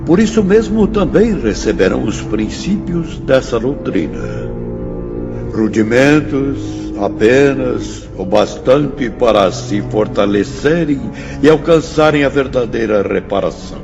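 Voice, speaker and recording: A grave spirit professor delivering grand radio-drama oratory. His voice is gravelly and swings widely in pitch as he exhorts with slow authority. A long hall reverb surrounds him, with music behind. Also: expressive, lo-fi sound. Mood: solemn, summoning. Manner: declamatory, emphatic, drawn-out phrasing.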